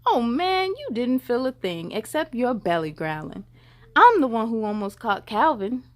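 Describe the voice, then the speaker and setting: Woman putting on a high-voiced, bickering old fisherman. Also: sing-song, moderate pace. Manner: emphatic, grumbling, bickering. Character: boastful, argumentative old man fishing by a lake. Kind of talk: children's storybook read-aloud.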